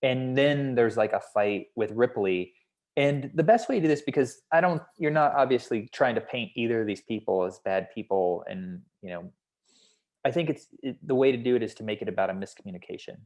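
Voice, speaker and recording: A man's voice: medium pitch, animated, fairly quick, darkish tone. A man explaining his notes on a script in a hedging, conversational way, like a consultant at a feedback session. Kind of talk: feedback discussion at a table read.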